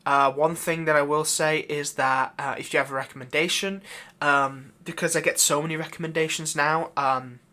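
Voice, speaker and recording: A man's voice: on the high side, steady tone, moderate pace, few pauses, bright, forceful tone. A man hosting his own channel, giving a casual, unscripted update in a rambling, hesitant way. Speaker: channel host, audio roleplay creator.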